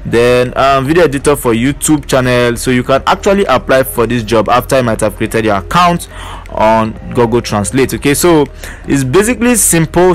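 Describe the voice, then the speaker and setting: A man teaching an online earning method in a casual screen-share walkthrough, leaning on fillers like "basically" and "you know". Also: medium pitch. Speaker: online money-making tutor.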